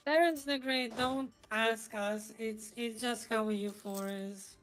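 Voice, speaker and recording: A woman's voice: a little high, fairly even intonation, slow, fairly bright tone, slight background noise, rough recording. A woman from Croatia chatting casually as a streamer while playing a strategy game, rambling a little with dry humour. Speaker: gaming streamer.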